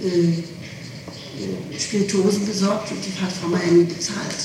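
A middle-aged woman answering questions in a police interrogation, halting and evasive as she searches for words, on an old 1960s tape. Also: on the low side, fairly even intonation, gravelly. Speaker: witness being questioned. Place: reverberant room, far from microphone. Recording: noisy, echoing 1960s interrogation tape, distant.

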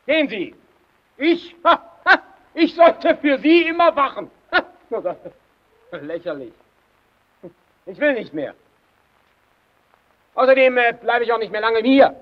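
An actor playing a nervous, rebellious keeper in old radio drama style, halting and repeating himself in agitation. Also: male voice, very high voice, lively intonation. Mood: defiant, agitated. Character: nervous, rebellious lighthouse keeper long cowed by his domineering colleague. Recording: dull, band-limited old radio recording.

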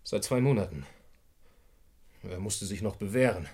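An actor playing a cornered gangster in a German radio crime drama, bitter and defensive, with terse speech and dramatic emphasis. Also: male voice, fairly low pitch, animated, normal speed, darkish tone, husky. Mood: grim confession.

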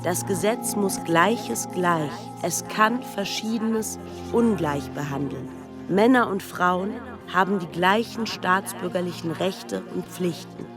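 A woman narrating a radio documentary in a calm, reflective way. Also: fairly low pitch, slow pace, over music.

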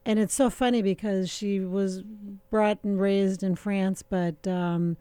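A middle-aged woman with a mellow, warm voice chats as a guest on a radio show. She is relaxed and talkative, pausing often on fillers and laughing easily. Her voice sits close to the studio mic and sounds dry. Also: mid-range voice, smooth, dry recording. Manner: relaxed and chatty, with many filler pauses and easy laughter. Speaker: winemaker guest. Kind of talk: casual radio interview.

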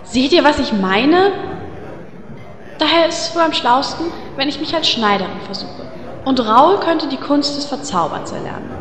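A woman's voice: high-pitched, expressive, moderate pace, fairly bright tone, roomy sound, big-room sound. An actress playing a vain, bossy young female elf healer. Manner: bossy, indignant.

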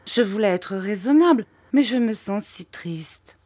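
A woman's voice: mid-range voice, expressive, measured pace, a little mellow, dry recording, clean studio sound, pitched above the performer's own voice. A woman voicing a petulant, self-pitying young mother in an audiobook, plaintive and exclaiming. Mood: sulky, hurt.

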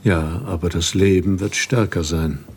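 An aging, devoted husband in a literary radio drama. His voice is deep and very breathy-rough. He speaks gravely and gently, weighing each phrase. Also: fairly even intonation, unhurried. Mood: gentle, sober. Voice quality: husky.

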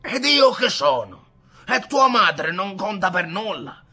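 A weary old grandfather scolds with a raised, husky voice in a storybook reading, as a man puts him on. He speaks slowly and with weight, bright and firm in tone. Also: high-pitched, sing-song, voice pushed up, full character voice. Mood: scolding. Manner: stern, scolding. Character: old grandfather, weary and stern head of family.

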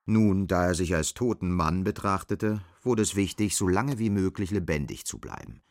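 An actor playing the sober narrator of a bleak dystopian tale in a scripted radio play. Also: male voice, low-pitched, expressive, moderate pace, no room sound. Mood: sober, measured.

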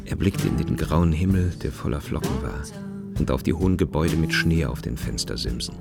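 A man's voice: rumbling low, lively intonation, brisk, creaky. A man narrating a literary radio play from a script, with plucked guitar music behind him.

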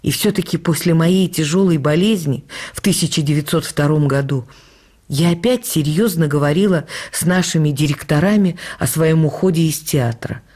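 An actress playing a reflective woman who recalls life with her late husband, reading her memoir in a measured, expressive literary way for a radio production. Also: deep voice.

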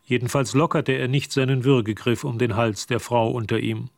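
Casting: male storyteller, medium pitch with gravelly edge, composed literary radio narration. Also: flat delivery, moderate pace, no room sound, studio quality.

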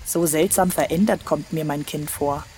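An actress playing a withdrawn widowed mother in a radio drama, narrating in a measured, literary way. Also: sits low, background noise, close to an everyday voice. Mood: brooding. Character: withdrawn mother, widowed, watching her strange son.

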